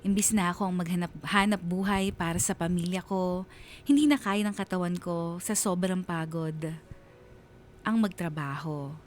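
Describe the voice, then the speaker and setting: A woman's voice: fairly low pitch, fairly even intonation, normal speed, slight background noise. A woman radio host reading a listener's letter as heartfelt, dramatic scripted narration.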